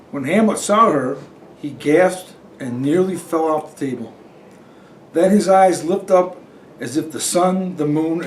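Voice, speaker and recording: A man on a school staff reading a children's story and its verse aloud. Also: on the high side. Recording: echoey room, distant mic, faint noise.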